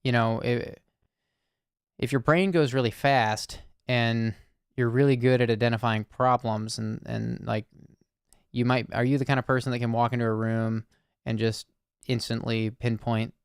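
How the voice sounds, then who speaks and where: A man hosting an anonymous call-in circle, speaking softly and searchingly with many pauses and fillers. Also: medium pitch, little pitch movement, creaky, clean tone, no echo, clean studio sound. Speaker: host. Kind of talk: listening call-in conversation.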